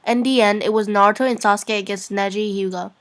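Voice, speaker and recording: Girl reading fanfic out loud, normal pitch, informal amateur narrator. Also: fairly even intonation, fast. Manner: giggly, informal. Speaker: narrator of fan fiction.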